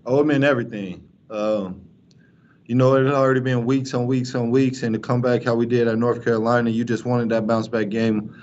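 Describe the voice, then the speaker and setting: A young male college basketball player answering postgame press questions, flat and halting, with many fillers and restarts. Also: medium pitch. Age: young adult. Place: press room in front of a sponsor backdrop. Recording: dull lo-fi stream with faint noise.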